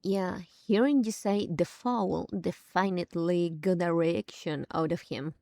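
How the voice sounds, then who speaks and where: Casting: kind young female game adventurer, soft dark tone, slow pace, close dry sound. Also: lowish voice, creaky, no room sound. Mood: wry. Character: kind, friendly young traveler, earnest and supportive. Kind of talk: video game character acting.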